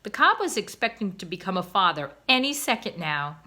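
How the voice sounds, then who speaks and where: A woman, a teacher, reading a children's novel aloud in an expressive, gentle way. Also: medium pitch, a little fast. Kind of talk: storybook read-aloud.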